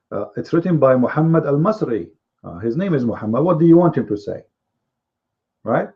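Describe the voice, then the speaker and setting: A man whose first language is Arabic, hosting a live online commentary show, with emphatic, repetitive speech and drawn-out stresses. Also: a little high, dull audio.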